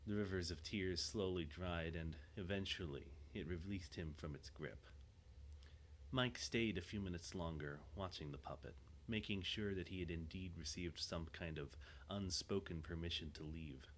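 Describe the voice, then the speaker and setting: Low-voiced male drama narrator. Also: moderate pace, a bit bright, slight background noise.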